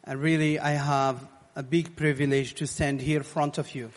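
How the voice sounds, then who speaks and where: A man gives a sermon testimony in halting English, in short phrases left for an interpreter. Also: fairly high pitch, flat delivery. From Morocco. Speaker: guest preacher giving testimony. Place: large reverberant hall, addressing a congregation. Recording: long hall reverb.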